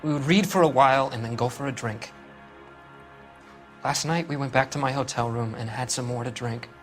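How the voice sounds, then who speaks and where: An actor playing a nervous, defensive young murder suspect in a video game, hesitant and pleading. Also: male voice, medium pitch, steady tone, normal speed, soft background music, close to an everyday voice. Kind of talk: dramatic video game acting.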